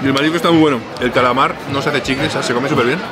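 A man's voice: medium pitch, racing. A man in his thirties with a Spain Spanish accent, hosting a food vlog and describing dishes with eager exclamations. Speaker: food vlogger host. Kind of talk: casual food-tasting commentary. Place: busy indoor restaurant dining hall. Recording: loud background music and crowd chatter.

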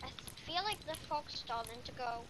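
A woman's voice: high voice, expressive, normal speed. A female gamer chatting her way through an improvised video game roleplay, recorded on a home mic. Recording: very noisy home gaming mic.

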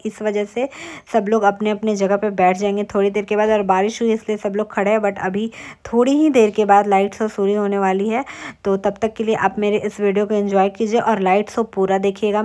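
A woman's voice: medium pitch, darkish tone. A young woman narrating her travel vlog in a chatty, informative voice-over, speaking straight to her viewers. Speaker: travel vlogger.

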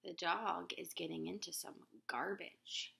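Gentle teacher woman with a slightly gravelly voice. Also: measured pace, a little hiss or hum. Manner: gentle, expressive teacher voice, raises pitch for quoted characters. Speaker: teacher reading a picture book aloud. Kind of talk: children's read-aloud with questions to viewers. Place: living room, on a couch. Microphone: laptop webcam at close range.

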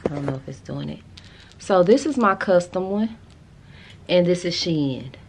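An American woman in her thirties chatting casually to camera in a sassy, slangy, animated way, as a vlogger showing a haul. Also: on the low side, normal speed, a little hiss or hum. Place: furnished room at home, indoors.

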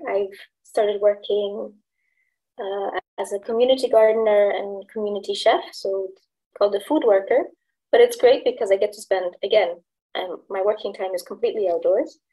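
A woman's voice: mid-range voice, warm tone, rough recording. A woman in her thirties, originally from El Salvador, talking easily as a podcast guest. She laughs often and lets her sentences trail into fillers. She is heard through a laptop mic on a video call from home. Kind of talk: casual interview.

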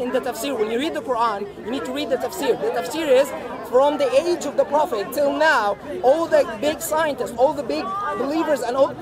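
A man with a fairly high voice explains his view earnestly and at length, English not his first language. Chatter from a crowd surrounds him in an open park. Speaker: street debater. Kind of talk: outdoor debate. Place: outdoor park among a crowd.

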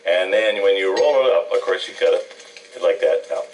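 A man's voice: sits low, normal speed, a little hiss or hum. A man, hosting a cooking show in a casual, chatty way, on an old TV tape. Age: 60s. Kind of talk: casual TV cooking banter and presenting. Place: TV studio kitchen. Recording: distant mic, old TV broadcast tape.

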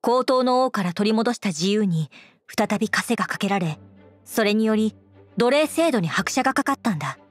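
An actress playing an earnest, composed young woman knight commander in an anime game, speaking in a formal, measured, dutiful way. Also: medium pitch, lively intonation, faint music behind, close to an everyday voice. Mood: grave.

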